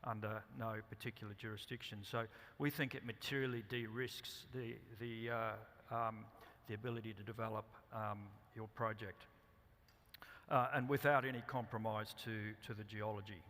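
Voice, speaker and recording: Halting Australian man presenting in a hall. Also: lowish voice, steady tone, a bit bright. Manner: halting, many ums and restarts. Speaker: company director presenting. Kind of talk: conference presentation. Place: conference hall. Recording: reverberant hall.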